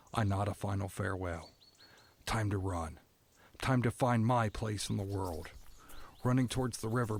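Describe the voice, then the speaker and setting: A man playing a haunted escaped convict who narrates his past solemnly in an audio drama, in measured literary phrases. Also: lowish voice. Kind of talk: first-person dramatic monologue in audio drama.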